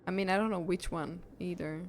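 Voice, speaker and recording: A woman's voice: lowish voice, steady tone, fairly quick, a little hiss or hum. A woman livestreaming a game, chatting casually and reacting as she goes. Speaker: game streamer. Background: game music.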